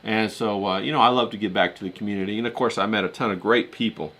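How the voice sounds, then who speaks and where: Male streamer, lowish pitch, very bright punchy tone, folksy and colloquial, chatty rambling solo delivery. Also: steady tone, quick pace. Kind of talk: casual chatty livestream monologue.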